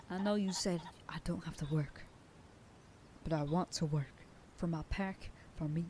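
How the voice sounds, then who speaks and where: A woman playing a protective werewolf sheriff girlfriend in an ASMR roleplay, scolding the listener and dropping into soft whispers. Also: low voice, a little hiss or hum. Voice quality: soft whisper in quieter passages. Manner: scolding, then softening into tender whispers. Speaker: voice actor in an audio roleplay.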